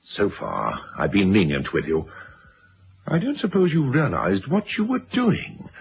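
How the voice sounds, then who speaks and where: An actor playing a domineering, contemptuous rich husband in a vintage radio drama, haughty and threatening. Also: male voice, lowish voice, huge pitch swings, unhurried, vocal fry, heavily acted. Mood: furious, scornful. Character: domineering, wealthy, contemptuous husband and business magnate. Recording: dull, faintly noisy old radio recording.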